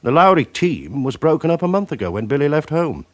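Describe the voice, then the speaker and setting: A man playing a shrewd senior police officer in a radio crime drama, authoritative, clipped, deliberate and sceptical. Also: a little high, sing-song. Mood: firm, sceptical.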